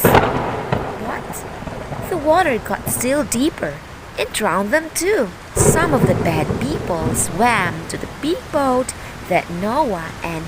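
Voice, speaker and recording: Slow-paced woman storyteller reading for children. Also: fairly high pitch, very noisy. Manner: slow, deliberate, expressive storytelling. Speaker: teacher reading stories for children. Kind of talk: scripted Bible story narration for children. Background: soft background music.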